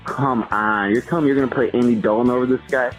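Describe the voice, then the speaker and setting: A man leaving an excitable, emphatic sports fan rant as a voicemail. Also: medium pitch, fast. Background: music.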